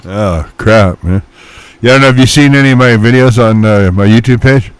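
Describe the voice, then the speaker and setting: A man's voice: lowish voice, a little fast, a little hiss or hum. A man in casual radio chat, telling stories animatedly with loose, mildly profane slang. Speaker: musician chatting on CB radio.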